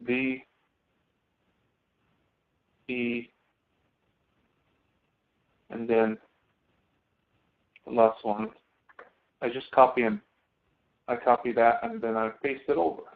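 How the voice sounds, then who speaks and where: Guy teaching software online, kinda halting step by step walkthrough, tinny phone-line sound. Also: medium pitch. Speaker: instructor. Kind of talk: live online software lecture with screen demonstration. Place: online screen-share session. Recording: thin phone-like audio.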